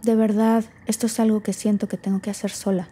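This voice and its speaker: A woman's voice: medium pitch, little pitch movement, brisk, mellow, husky, close to an everyday voice. A woman playing an anxious, defensive daughter in a naturalistic audio drama, with a Mexican accent and a colloquial, hesitant, emotional delivery. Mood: confused, defensive. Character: anxious, defensive daughter unravelling family secrets.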